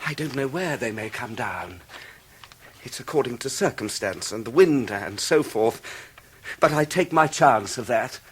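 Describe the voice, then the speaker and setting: An actor playing a gentle, childlike, eccentric English gentleman in a radio drama, kindly and dreamy. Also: mid-range voice, animated, unhurried, slight background noise, close to an everyday voice. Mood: gleeful, dreamy. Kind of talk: 1990s British radio drama acting.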